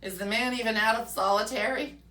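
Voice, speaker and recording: A woman playing a brash, folksy right-wing pundit persona in a comic rant, fiery and preachy with drawn-out "mm-hmm" asides. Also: fairly high pitch, sharp tone, reverberant, far from the mic. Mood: chatty gossiping. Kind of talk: comic political rant in character.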